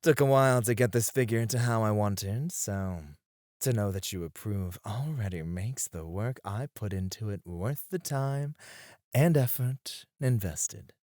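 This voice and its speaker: A man acting a flirtatious, self-assured male stripper in an ASMR roleplay, suave and teasing, with breathy asides, close on the microphone. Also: lowish voice, a little slow, darkish tone, no echo, natural voice. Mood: smug, playful. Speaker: ASMR voice actor.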